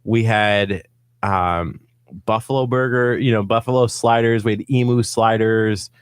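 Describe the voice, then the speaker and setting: A Texan man hosts a small-town radio show, talking in a relaxed, chatty way. His voice sits a little low and sounds dry and close to the mic. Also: measured pace, clear, resonant tone, dry recording. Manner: chatty, upbeat, trails off while fiddling with social media. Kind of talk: casual radio chat. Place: small local radio studio.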